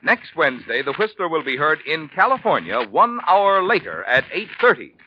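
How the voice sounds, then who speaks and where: A man's voice: on the high side, sing-song, moderate pace, breathy edge. A man working as a radio announcer, reading a script in a formal, emphatic 1940s broadcast style. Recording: dull vintage radio broadcast, loud music.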